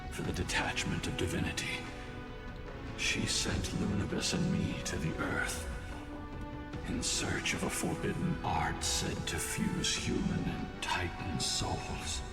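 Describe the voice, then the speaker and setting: A man's voice: low voice, a little slow. A man voicing a proud, solemn divine messenger in a fantasy game, with a stately, grandiose delivery. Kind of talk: scripted fantasy game dialogue. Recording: echoing, distant, loud music underneath.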